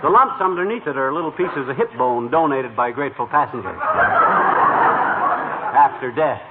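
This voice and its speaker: A man's voice: medium pitch, lively intonation, moderate pace, a loud crowd, close to an everyday voice. Man playing grumbling wisecracker on scratchy old radio. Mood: wisecracking. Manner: folksy, sarcastic, colorful exaggeration. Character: grumbling, wisecracking middle-aged husband and schemer. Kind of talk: 1940s radio comedy acting. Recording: scratchy vintage radio, narrow tinny sound.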